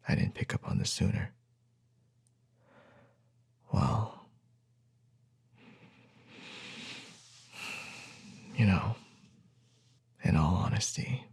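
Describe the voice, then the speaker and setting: A man playing a gentle, reassuring young boyfriend in an intimate ASMR roleplay, speaking softly and tenderly, often close to a whisper, with hesitant pauses. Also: low-pitched, exaggerated voice. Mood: gentle, sympathetic. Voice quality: soft, breathy, husky, often near a whisper.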